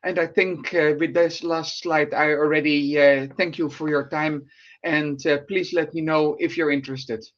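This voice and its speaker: A man's voice: on the high side, fairly even intonation, normal speed. Dutch man, hesitant, muffled remote audio. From Netherlands. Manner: hesitant, many fillers. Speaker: presenter. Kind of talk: remote conference presentation. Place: remote at home at night.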